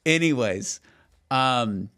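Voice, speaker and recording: A man's voice: medium pitch, expressive, very slow, a bit bright, clean tone. A middle-aged man co-hosting a podcast, telling stories and teasing in casual, emphatic, filler-heavy banter. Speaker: podcast co-host.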